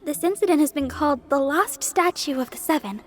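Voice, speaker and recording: A woman's voice: sits high, brisk, a little hiss or hum, lightly acted. A woman voicing a sweet, earnest young church idol girl in a video game, friendly and eager. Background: soft game music.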